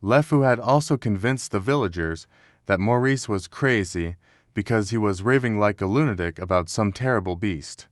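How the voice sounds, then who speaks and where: A man narrating a scripted children's story in a measured, dramatic storytelling manner. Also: lowish voice, dry recording, clean studio sound.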